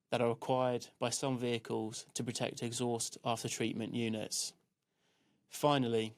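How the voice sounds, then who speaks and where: Man, training film narrator, scripted read, measured instructional delivery, narrow pitch range.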